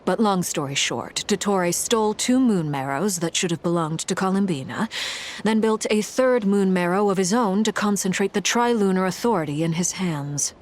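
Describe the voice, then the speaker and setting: An actress playing a cool, formal, dryly condescending female commander in a video game, in a measured deadpan. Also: mid-range voice, close to an everyday voice. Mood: grave, dry. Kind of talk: English video game voice acting. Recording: game music behind the voice.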